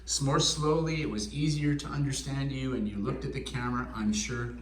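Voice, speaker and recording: A man teaching English, giving a student simple, encouraging feedback. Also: mid-range voice. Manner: encouraging, explains slowly and simply. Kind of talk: classroom feedback. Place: classroom. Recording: echoey classroom, distant mic.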